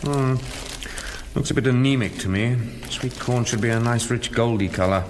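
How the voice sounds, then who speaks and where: An actor playing a grumbling middle-aged British factory boss in a radio drama, muttering in a clipped, matter-of-fact way. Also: male voice, mid-range voice, moderate pace, background noise, lightly acted. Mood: businesslike.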